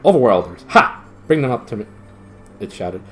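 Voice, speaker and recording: Man voicing a young knight prince, high voice, lofty, imperious, storybook. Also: very animated, moderate pace, mellow, soft background music. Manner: commanding, lofty old-fashioned speech. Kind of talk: storybook reading.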